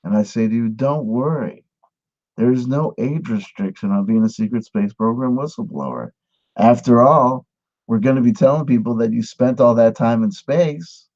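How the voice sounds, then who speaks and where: Guy doing a mock-serious sales-pitch lecture, kinda dark mellow voice, muffled lo-fi sound. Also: moderate pace. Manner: mock-earnest pitchman delivery. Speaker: mock instructor. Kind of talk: satirical monologue.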